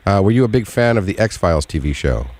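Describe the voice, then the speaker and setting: A man with a fairly low voice and very animated intonation co-hosts a radio chat. He talks colloquially and jokily, doubling back on his words as he gets going. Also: quick pace. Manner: jokey, colloquial, repeats words as he starts. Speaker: radio co-host interviewer.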